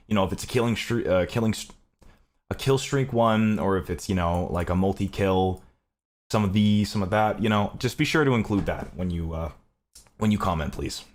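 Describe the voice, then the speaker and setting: A man from Canada talking casually and earnestly to his viewers as a gaming content creator, rambling and swearing freely. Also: fairly low pitch. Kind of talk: casual, unscripted talk to viewers.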